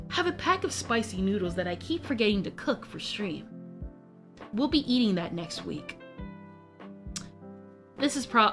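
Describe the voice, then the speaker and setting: A young woman streamer chats in a rambling, giggly way, often cutting herself off mid-thought. Her voice sits a little high, and faint music plays behind her. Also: sharp tone. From United States. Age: young adult. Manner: rambling, giggly, self-interrupting. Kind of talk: casual chat stream.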